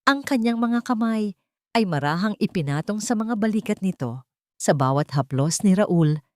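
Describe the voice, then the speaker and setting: A woman with a Filipino accent reading a scripted love story in a measured, sentimental, dramatic narration style. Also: medium pitch, darkish tone, dry recording, studio quality. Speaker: narrator of Tagalog love stories.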